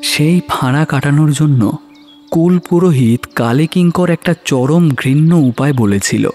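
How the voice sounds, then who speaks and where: A man's voice: a little high, expressive, unhurried, darkish tone. A man narrating a horror audio story in a grave, dramatic, suspenseful style. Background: music.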